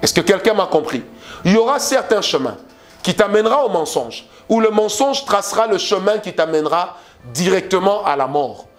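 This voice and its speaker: High-voiced Congolese preacher, emphatic. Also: male voice, animated, normal speed, faint music behind. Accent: Congolese French. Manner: emphatic preaching with repeated phrases and rhetorical questions. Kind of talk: sermon.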